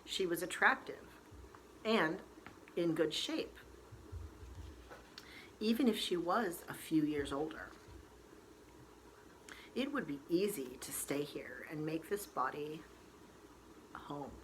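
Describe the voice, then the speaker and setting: A woman storyteller reading a novel aloud in expressive, dramatic narration with deliberate emphasis. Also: low-pitched, poor audio. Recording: faint background noise.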